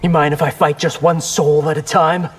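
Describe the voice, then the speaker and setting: Highish, cocky young ninja played by a man. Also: little pitch movement, normal speed, noisy background, lightly acted. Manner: wry, cocky, casual. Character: Takeda, cocky young male ninja warrior. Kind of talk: fighting game character acting.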